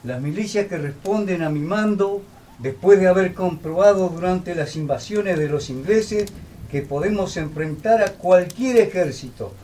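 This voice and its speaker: Mellow, high-voiced militia commander. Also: male voice, measured pace, distant mic. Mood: proud, assured. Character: proud male colonial militia commander. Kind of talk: period radio drama. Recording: off-mic with faint noise.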